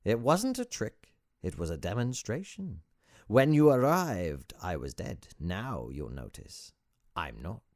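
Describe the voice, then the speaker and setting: A man voicing a mischievous, falsely modest young hermit sage in an audiobook, with a theatrical, gleeful sales-pitch manner. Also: huge pitch swings, takes it slowly, a little mellow, no echo, clean studio sound, full character voice.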